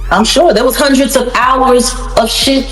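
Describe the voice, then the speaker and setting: A woman's voice: high voice, steady tone, moderate pace. A woman giving opinionated commentary as an interview guest, emphatic, repeating herself and asking rhetorical questions. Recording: echoey room, faint music behind.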